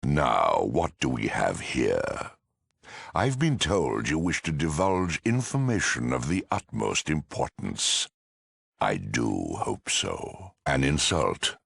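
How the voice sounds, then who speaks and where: A man playing a haughty super mutant lieutenant in a video game, speaking in a gravelly, mock-courteous, condescending and menacing way. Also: extremely low pitch, sing-song, takes it slowly, fairly bright tone, dry recording, studio quality, exaggerated voice. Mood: mock courtesy. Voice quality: gravelly, raspy. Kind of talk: video game villain acting.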